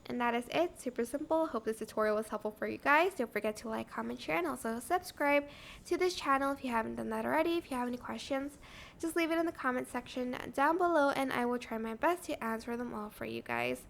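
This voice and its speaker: High-pitched woman narrating a casual tutorial. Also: fast talker, gravelly, a little hiss or hum. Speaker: tutorial host.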